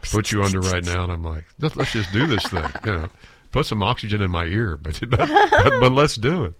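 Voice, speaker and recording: A male radio host with a froggy, nasal voice talks casually between songs. He laughs easily and trips over his words. His pitch sits on the low side, and the studio sound is close and dry. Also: huge pitch swings, fairly quick, a bit bright, dry recording.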